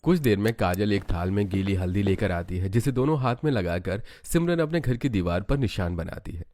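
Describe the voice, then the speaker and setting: Low-voiced man narrating a cartoon story. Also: animated, a little fast, a little mellow, a little hiss or hum.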